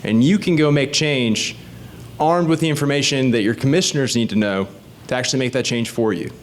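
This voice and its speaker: A man in his thirties talks plainly and conversationally to a local group, explaining things step by step. His voice sits at an ordinary middle pitch, and a faint low rumble runs underneath. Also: brisk. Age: 30s. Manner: conversational and explanatory, often asks the audience questions. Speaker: state legislative candidate. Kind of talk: informal talk to a community group.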